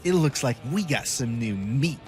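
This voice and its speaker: A man's voice: medium pitch, animated, moderate pace, darkish tone, faint music behind, natural voice. A man playing a cocky, menacing twelve-year-old bully in a horror audio drama, taunting with mock politeness. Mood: smug, taunting.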